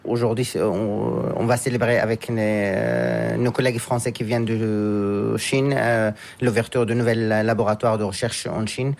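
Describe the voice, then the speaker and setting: Guy w slightly low voice, from france, talks slow and halting, keeps pausing n restarting. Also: flat delivery, creaky, darkish tone. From France, raised in Japan. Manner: halting, searching for words, with frequent pauses and restarts. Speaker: guest, scientist and businessman. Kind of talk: radio interview answers.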